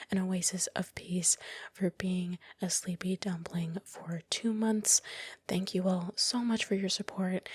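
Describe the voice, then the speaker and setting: Breathy whispering woman, close and dry. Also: mid-range voice, a little mellow, no echo. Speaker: ASMR creator narrating her time-lapse. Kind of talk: whispered ASMR, unscripted casual narration. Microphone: close microphone, dry voice-over.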